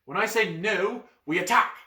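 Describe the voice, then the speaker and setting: Man putting on a boastful young warrior boy, old-fashioned speech, bright tone, distant and roomy. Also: sits high, moderate pace, pitched above the performer's own voice. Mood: bold heroic. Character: brash young male warrior from the past.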